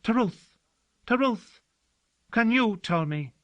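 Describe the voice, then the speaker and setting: A man voicing a cheerful, excitable heavy-set servant who keeps forgetting things. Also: a little high, normal speed, clear, resonant tone, voice pushed up.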